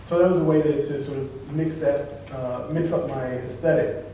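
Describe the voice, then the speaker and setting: A man speaks thoughtfully about his writing, circling back and restarting as he looks for words. His voice is mid-pitched. He is picked up from a distance in a heavily echoing conference room. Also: fairly even intonation, normal speed, warm tone, slight background noise. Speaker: poet on a panel. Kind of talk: answering audience questions. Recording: echoey room, far from mic.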